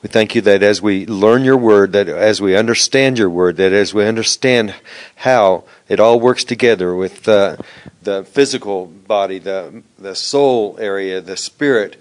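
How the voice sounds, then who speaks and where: Man with a lower voice leading a reverent, repetitive spoken prayer. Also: a little slow. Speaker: congregation member leading prayer.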